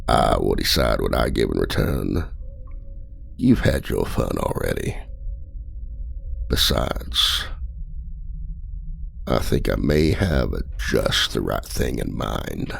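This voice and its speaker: Brief: a man voicing a flirty, manipulative male outlaw, very deep and rough-edged, slow, unhurried delivery. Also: expressive, a bit bright, a little hiss or hum, heavily acted. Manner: flirty, teasing, smugly condescending. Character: charming, manipulative male outlaw escape artist. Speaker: voice actor. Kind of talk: ASMR audio roleplay.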